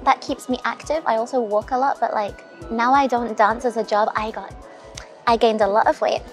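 A woman's voice: fairly high pitch, fairly even intonation, moderate pace, soft background music. A woman in her twenties from the Caribbean and England, chatting casually and candidly as an interview guest over a meal. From Caribbean, later England. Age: 20s. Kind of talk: casual chat over afternoon tea. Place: spacious hotel lounge restaurant, indoors. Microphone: small recorder or phone close across the table.

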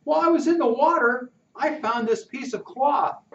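Older man doing a squeaky excited boy. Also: moderate pace, roomy sound, far from the mic, highs cut off. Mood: eager. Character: excitable young boy camper.

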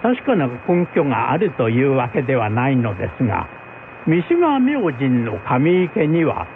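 A man voicing a respectful, well-informed samurai retainer who explains in formal old-fashioned speech in a folk tale drama. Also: on the high side. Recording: phone-like band with faint noise.

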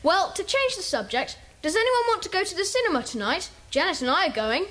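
Confident British schoolboy leader, high lively voice, polite, children's audio drama. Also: female voice, a little fast, full character voice. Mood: assured.

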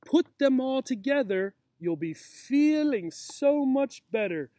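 A man's voice: extremely high pitch, expressive, takes it slowly, mellow, dry recording, studio quality. A man in his forties reading a picture book aloud as a teacher, with simple, encouraging talk for young children. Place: outdoors in a grassy yard. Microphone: camera or laptop mic at about arm's length.

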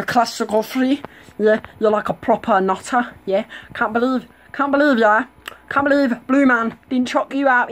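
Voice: mid-range voice, lightly acted. A performer with a British accent voicing a power-mad, mocking villain who rambles and keeps adding tag questions. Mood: sneering taunts. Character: boastful taunting villain, power-mad and cocky. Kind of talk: amateur villain role-play.

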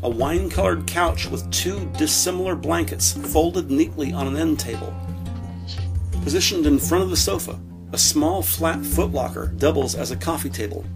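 A man reading scripted audio drama narration in a measured, descriptive way. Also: fairly high pitch, music in the background.

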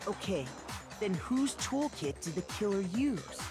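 An earnest teenage boy in an anime mystery game. He sounds youthful and thoughtful, at a medium pitch, with music playing behind him. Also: very animated, a little slow. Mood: earnest, probing. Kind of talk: anime video game acting.

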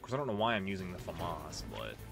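A man's voice: low-pitched, big pitch swings, fast talker, vocal fry. A man giving casual, swear-prone gameplay commentary as the host of a let's play. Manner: profane, exasperated when struggling. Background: video game music.

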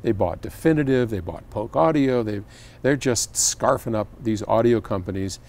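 Older guy rambling away outside, low gravelly voice, lots of ums and restarts. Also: moderate pace, a little mellow. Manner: chatty, rambling, with many fillers and restarts. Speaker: audio company founder answering viewer questions. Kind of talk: casual talk to camera. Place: outdoors on stone steps by a garden.

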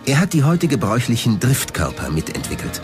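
Male documentary narrator, scripted read with lively pitch movement, music underneath. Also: a little fast. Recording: music behind the voice. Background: background music.

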